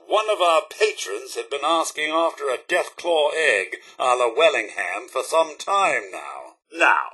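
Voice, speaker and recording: Posh british robot butler for a game, prim and fussy, bright sharp voice, bit raspy. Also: male voice, fairly high pitch, heavily acted. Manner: posh, proper, theatrical butler diction. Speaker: voice actor. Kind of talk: video game companion dialogue.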